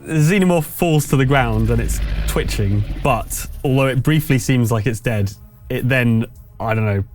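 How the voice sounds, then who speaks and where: Male game master, mid-pitched voice, improvised narration and rules talk, music faintly underneath. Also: moderate pace, a little mellow.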